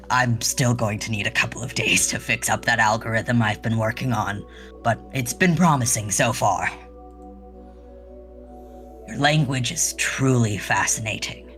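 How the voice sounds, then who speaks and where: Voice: medium pitch, fairly bright tone, lightly acted. A person voicing a polite, erudite scientist with a courteous, formal manner in a sci-fi visual novel.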